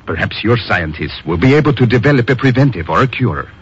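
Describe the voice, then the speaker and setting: A man's voice: mid-range voice, expressive, brisk, close to an everyday voice. A man playing a grave, courteous doctor in a 1950s radio drama, formal and earnest in his delivery. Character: grave, courteous Guatemalan doctor running a laboratory. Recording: muffled, noisy vintage radio recording.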